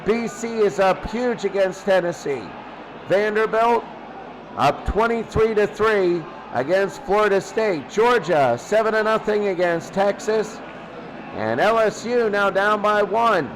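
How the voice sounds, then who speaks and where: A man's voice: high-pitched, lively intonation, a little slow, noisy background. A man calling American football play-by-play in short clipped phrases, repeating himself for emphasis. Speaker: play-by-play announcer.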